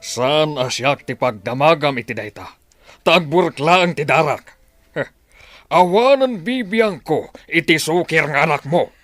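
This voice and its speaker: A man's voice: on the high side, lively intonation, unhurried, fairly bright tone, no room sound. Stern, dismissive dad played by a man, radio-play delivery, emphatic swings, dry recording. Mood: scornful. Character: stern, bitter father who has given up on his daughter. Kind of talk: Ilocano audio drama acting.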